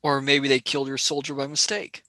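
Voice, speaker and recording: A man's voice: medium pitch, completely flat delivery, fairly quick, bright, forceful tone, no echo, clean studio sound, close to an everyday voice. A man voicing a blunt, skeptical young male space pilot who argues casually in a radio play. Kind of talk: amateur radio play acting.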